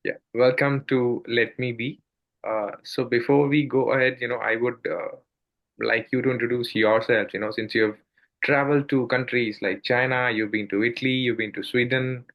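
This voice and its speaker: A man's voice: mid-range voice, fairly even intonation, moderate pace, muffled recording. A man hosting an interview show, reading an introduction and asking questions with frequent uhs and you-knows. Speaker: podcast host.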